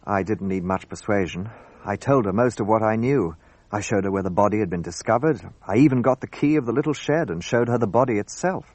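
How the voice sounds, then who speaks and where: An actor playing an earnest, gallant gentleman who narrates and acts in a radio drama. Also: fairly low pitch, brisk, no echo, close to an everyday voice. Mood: measured narration.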